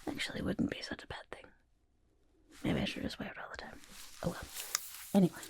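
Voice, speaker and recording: A gravelly, breathy goblin portrait artist, speaks slowly in a raspy half-whisper for an ASMR roleplay. The creature is vain and fussy, flattering the listener with pompous, careful phrases in a very husky voice. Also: female voice, on the low side, heavily acted. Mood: wary, polite. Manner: third-person self-talk, hesitant pompous flattery. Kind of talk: ASMR roleplay, soft personal attention.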